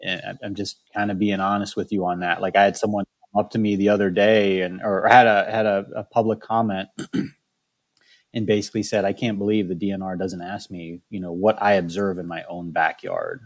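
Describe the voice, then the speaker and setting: A man's voice: deep voice, little pitch movement, normal speed. A man speaking as a state wildlife biologist in a candid interview, choosing his words carefully with frequent restarts. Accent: Michigan.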